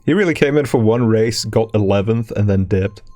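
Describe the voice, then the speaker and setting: A man's voice: lowish voice, normal speed, dark tone, soft background music. A young man who is not a native English speaker, chatting and laughing casually while playing a racing game with friends. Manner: chatty, laughs easily, reminiscing. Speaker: gamer streaming with friends. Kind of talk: casual gaming banter.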